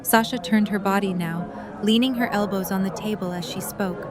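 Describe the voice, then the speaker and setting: A woman's voice: medium pitch, fairly even intonation, moderate pace. A woman reading scripted story narration as the narrator of an audio drama. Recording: music and crowd chatter behind.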